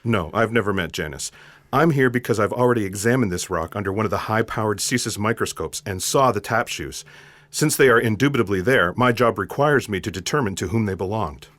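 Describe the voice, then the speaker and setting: An earnest special agent in sci-fi comedy theatre. The voice is on the low side and dryly recorded. Delivery is polite and deadpan, taking everything literally. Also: male voice, a little fast, no room sound. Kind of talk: comic sci-fi audio theatre acting.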